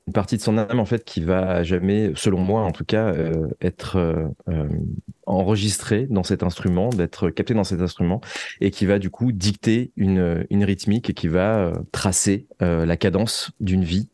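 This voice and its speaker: A man giving reflective interview answers, speaking thoughtfully and articulately with searching pauses. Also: deep voice, warm tone, no room sound. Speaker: interview guest, fiction writer and musician.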